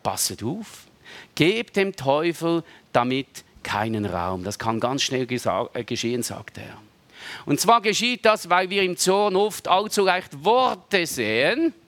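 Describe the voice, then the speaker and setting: Preacher, unhurried conversational sermon, rather high voice, keeps checking for agreement. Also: male voice, dry recording. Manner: conversational, seeks agreement with frequent rhetorical tags, dips to soft asides.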